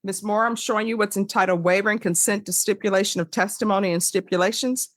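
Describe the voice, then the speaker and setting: Female judge, American, mid-range pitch. Brisk, authoritative, formal recitation of procedure, recorded dry. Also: fairly even intonation, no room sound. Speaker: judge presiding over a plea hearing. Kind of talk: formal procedural courtroom questioning. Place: courtroom.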